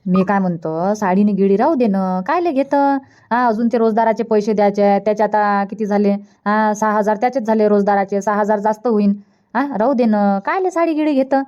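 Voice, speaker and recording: A woman's voice: medium pitch, a little fast, mellow, close to an everyday voice. A woman voicing a thrifty, practical older village mother in a cartoon comedy, persistently advising in Vidarbha Marathi. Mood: questioning, reluctant. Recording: dull lo-fi cartoon dub.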